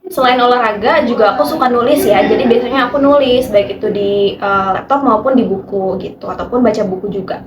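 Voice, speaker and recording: A woman's voice: a little high, a little fast, few pauses, crowd noise. A woman in her early twenties chatting casually as she answers interview questions. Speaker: interviewee in a group discussion. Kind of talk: casual chat. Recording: echoey room with people chattering behind.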